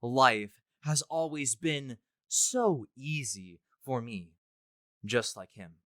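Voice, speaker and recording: A man's voice: medium pitch, animated, slow pace, fairly bright tone, no room sound, studio quality. A man playing a smug, deluded college student who thinks he is the Joker, in a pompous, theatrical story reading. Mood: grandiose. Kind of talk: dramatic first-person story reading.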